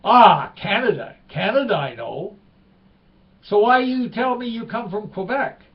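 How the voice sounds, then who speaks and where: A man playing a bewildered Norwegian tourist, clumsy English, slightly high voice. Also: animated, measured pace, lo-fi sound. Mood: puzzled. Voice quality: broken English.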